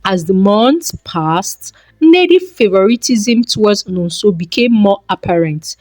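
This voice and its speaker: A woman's voice: mid-range voice, lively intonation, measured pace, darkish tone, no echo. A woman reading a scripted folktale as a storyteller.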